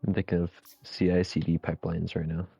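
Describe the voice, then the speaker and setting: A man's voice: low voice, monotone, fairly quick, vocal fry, dark tone, slightly rough, no echo, highs cut off. A man in casual chat on a game stream, subdued and sounding under the weather. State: ill, subdued, voice deeper than usual. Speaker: co-commentator chatting with the streamer. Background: music.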